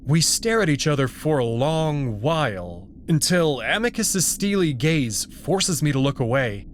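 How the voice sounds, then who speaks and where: A man reading a visual novel aloud as its narrator, in an expressive storytelling style. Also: a little high, measured pace, a little hiss or hum. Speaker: narrator and voice performer.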